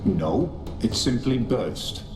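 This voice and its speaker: A man's voice: on the low side, lively intonation, slow. A man playing a sardonic, cold spirit servant in a video game, speaking tersely with dry detachment. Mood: cold, detached. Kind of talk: video game character dialogue. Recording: echoing reverb, game music behind.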